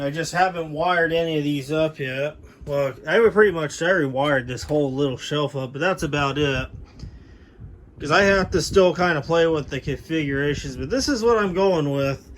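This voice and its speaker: A man with a fairly high-pitched voice gives a casual, informal walk-through in home-video style. He chats as a hobbyist showing off his setup. The sound is that of a handheld camera mic indoors. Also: a little hiss or hum. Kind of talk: casual handheld show-and-tell narration.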